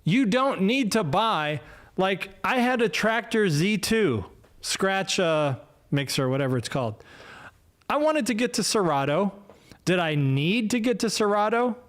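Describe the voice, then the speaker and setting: A man in his fifties hosts a loose solo livestream, slow and unhurried, his voice sitting a little high for a man. He rambles, restarts his sentences and pokes fun at himself. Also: sing-song. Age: 50s. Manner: rambling, self-deprecating, many fillers and restarts. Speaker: podcast host. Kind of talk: casual solo livestream chat. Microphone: desk mic, no headphones.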